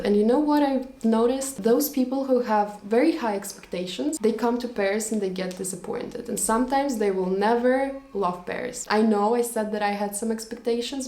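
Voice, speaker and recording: Young woman vlogger, warm, chatty, searches for words, roomy sound. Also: mid-range voice. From Latvia. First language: Russian. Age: young adult. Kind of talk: storytime vlog.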